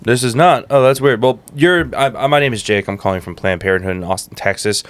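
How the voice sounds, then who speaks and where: A man's voice: mid-range voice, brisk, fairly bright tone, no echo, close to an everyday voice. A man playing a nervous, polite clinic worker on his first day, hesitant and rambling on a prank call.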